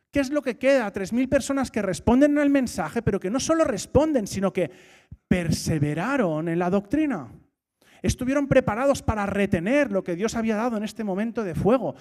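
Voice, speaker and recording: A man's voice: high voice, expressive, normal speed, darkish tone. A man preaching a sermon in church. He asks rhetorical questions, repeats his key points and jokes now and then.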